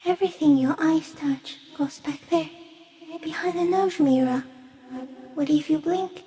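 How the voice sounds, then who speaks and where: A woman voicing a giant stick insect in a video game, gentle, solemn and cryptic, speaking in slow, measured phrases. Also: sits high. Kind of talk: video game character acting.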